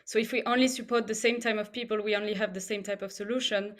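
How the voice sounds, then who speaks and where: A woman's voice: medium pitch, steady tone, fast. A woman speaking on a panel about the entrepreneur network she runs, in an earnest, conversational way. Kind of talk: online panel talk.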